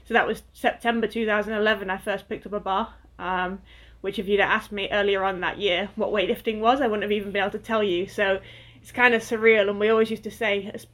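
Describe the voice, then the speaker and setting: A woman's voice: mid-range voice, fairly even intonation, fast, a bit bright. A woman in her twenties from Northwest England, a guest athlete chatting casually in an interview, with lots of fillers and easy laughter. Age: 20s.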